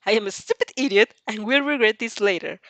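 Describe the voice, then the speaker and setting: A woman voicing an exasperated, polite but stubborn high school girl in a story reading, blunt and annoyed. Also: a little fast, fairly bright tone, no room sound, close to an everyday voice. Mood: aggravated.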